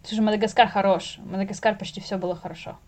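A woman's voice: medium pitch, fairly even intonation, quick pace, a loud crowd. A young woman in a casual podcast chat, telling things in a slangy way and acting out little exclamations. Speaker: podcast guest, animator.

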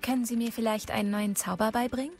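An actress as a keen young witchcraft pupil in an audio drama. Her voice is a bit high, polite and eager, with soft music behind it. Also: fairly even intonation, fast talker, natural voice. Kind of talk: German audio drama acting.

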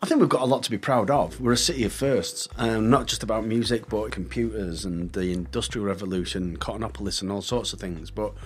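A man's voice: on the low side, brisk, hardly any pauses. A man from Manchester with a Mancunian accent, a musician being interviewed in casual, rambling chat that often restarts mid-sentence. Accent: Mancunian English. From Manchester, England. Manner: chatty, rambling, self-correcting. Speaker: musician guest.

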